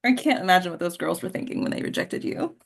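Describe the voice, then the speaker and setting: A woman playing a warm, wry mother in a naturalistic table read of a play, conversational and unhurried. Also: on the low side, very animated, vocal fry, exaggerated voice. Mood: fond, reminiscent. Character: warm, wry mother who cherishes her wrinkles.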